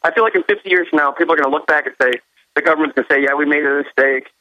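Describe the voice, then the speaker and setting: A man's voice: a little high, rapid-fire, sharp tone, no echo. A man calling in to a talk radio show, rambling and restarting his sentences. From Illinois. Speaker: caller. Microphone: phone. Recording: dry phone-line sound.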